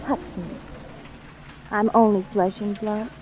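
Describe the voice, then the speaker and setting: A woman's voice: medium pitch, flat delivery, measured pace, a little mellow, slight background noise, lightly acted. A woman playing an alluring noir femme fatale, dramatic and intimate, in 1940s radio style. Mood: cool, pleading. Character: cool, alluring noir femme fatale, young carnival daredevil.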